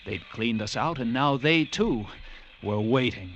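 An actor playing a haunted lighthouse keeper, narrating an old radio drama with intense, halting delivery and suspenseful pauses. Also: male voice, mid-range voice, animated, highs cut off, a little hiss or hum, lightly acted. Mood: despairing. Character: haunted male lighthouse keeper recounting a grim ordeal.

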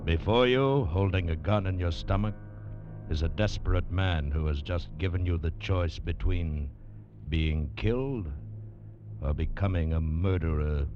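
A man narrating in the grave, deliberate style of a vintage radio suspense show. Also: low-pitched. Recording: muffled vintage radio broadcast with music.

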